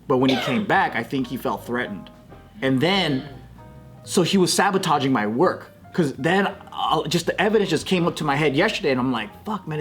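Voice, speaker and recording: Casual man telling a story, swearing freely. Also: medium pitch. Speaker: talk show commentator. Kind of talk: casual group banter, storytelling.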